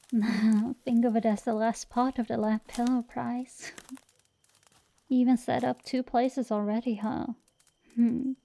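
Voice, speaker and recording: A woman playing a brash but secretly tender dragon girl sorceress in an intimate ASMR roleplay, soft and sometimes whispering, teasing and affectionate, close to the mic. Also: a little high, drawn-out words, long pauses, dry recording, heavily acted. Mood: playful, gentle. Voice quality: soft, breathy whisper at times.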